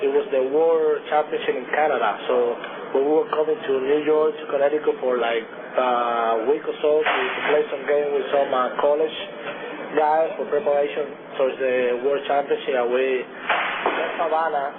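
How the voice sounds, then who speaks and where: A young man from Cuba with a Cuban Spanish accent and Spanish as his first language, a baseball pitcher answering radio interview questions in halting speech with many restarts. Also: steady tone. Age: young adult. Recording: tinny phone-like radio broadcast with noise.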